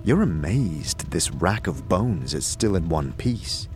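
A man's voice: deep voice, big pitch swings, slow pace, a little mellow, faint music behind. A man narrating a scripted fantasy audio drama in a dramatic storytelling manner.

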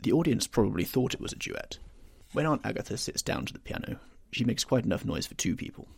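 A man voicing a witty aristocratic gentleman with an amused drawl. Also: medium pitch, expressive, fast talker, gravelly, husky, dry recording, clean studio sound. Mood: languid.

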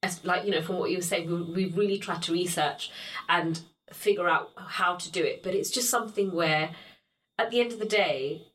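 A woman's voice: lowish voice, moderate pace. A woman co-hosting a podcast, talking casually with lots of "like" and "you know". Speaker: podcast co-host. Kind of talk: casual chat.

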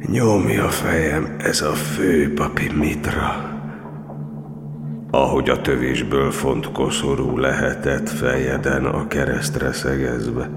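An actor playing a weary, anguished old cardinal in a solemn, poetic, prayerful audio drama monologue. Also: male voice, very deep voice, a little hiss or hum. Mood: anguished lament. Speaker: actor in a monodrama. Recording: echoey hall reverb with music.

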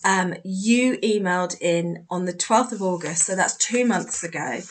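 A woman's voice: fairly low pitch, measured pace, a little hiss or hum, poor audio. A British woman hosting a podcast, answering a listener's question in a chatty, self-deprecating way. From Britain. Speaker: podcast host, mediumship teacher.

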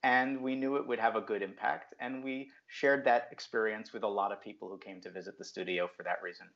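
Low-voiced man, dull lo-fi audio. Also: fairly even intonation, a little fast, a bit bright. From New Jersey, USA. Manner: reflective, frequent um fillers. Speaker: guest, brand strategist. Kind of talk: casual interview talk.

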